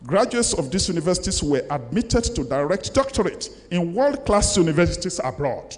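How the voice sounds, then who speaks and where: An older Nigerian man delivers a formal lecture in a big, reverberant hall. His voice sits a little high for a man, and he speaks in a deliberate, emphatic way, often repeating a phrase as he reflects. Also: very animated. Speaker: guest lecturer. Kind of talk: formal public lecture, reading and commenting. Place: large lecture hall, indoors. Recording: echoey hall with long reverb.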